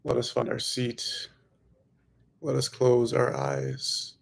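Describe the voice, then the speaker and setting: A man leading a guided meditation as a teacher, speaking slowly and softly in short phrases with long pauses. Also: medium pitch, little pitch movement, vocal fry. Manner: slow, hushed, long pauses between phrases.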